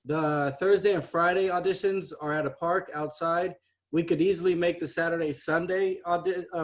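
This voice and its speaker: A man with a fairly high voice speaks on a video call. He lays out plans in a practical, organizing way. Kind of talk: casual video-call meeting talk.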